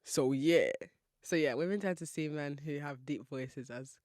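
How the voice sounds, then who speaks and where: A woman's voice: sits low, moderate pace, a little mellow, dry recording, clean studio sound. Playful woman hosting a podcast. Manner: chatty, playful, asks listeners rhetorical questions, laughs often. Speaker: podcast host. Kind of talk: solo podcast monologue.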